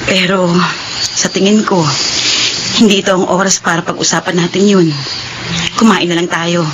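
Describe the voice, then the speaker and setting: A woman's voice: fairly low pitch, fairly even intonation, normal speed, noisy background, lightly acted. An actress playing a withdrawn, troubled young working woman torn in love in a radio drama. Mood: evasive, subdued. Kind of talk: Filipino radio drama acting.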